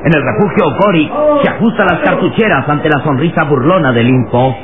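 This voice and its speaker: A man's voice: fairly high pitch, a little fast, a loud crowd. A man narrating an old radio serial in a dramatic style. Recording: tinny, very noisy old radio recording.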